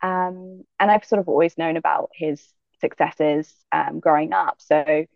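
A young woman athlete from Jamaica, answering interview questions in a relaxed, thoughtful way with many fillers. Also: low-pitched, measured pace, gravelly, dry recording, lo-fi sound. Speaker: guest athlete.

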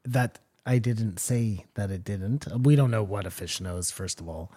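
A man's voice: lowish voice, measured pace, mellow, slightly rough. A man co-hosting a podcast, rambling and speculating in casual chat, swearing freely. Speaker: podcast co-host.

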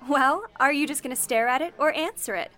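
An actress playing a brisk, needling woman operator in a period radio play. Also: high voice, a crowd in the background, lightly acted. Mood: snippy.

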